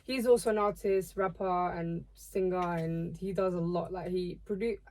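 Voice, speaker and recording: A woman's voice: on the low side, moderate pace. A young woman from Portugal, a singer guesting on a podcast, talking casually with lots of "like" fillers. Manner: relaxed, filler-heavy talk with many "like"s.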